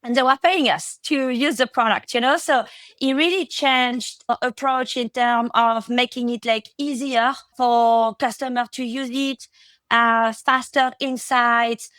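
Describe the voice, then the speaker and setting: A woman's voice: on the high side, fairly even intonation, measured pace, a bit bright, no room sound. A woman in her thirties with a French accent, talking casually as a podcast guest, with many fillers and restarts. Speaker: podcast guest, product executive. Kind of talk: casual interview talk.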